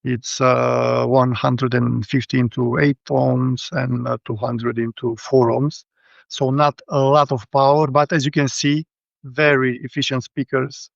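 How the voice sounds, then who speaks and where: A man's voice: mid-range voice, flat delivery, a little slow, vocal fry, no room sound. A man with a Romanian accent giving a casual technical rundown as a guest, speaking hesitantly, over dull lo-fi audio. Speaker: guest, hi-fi show visitor.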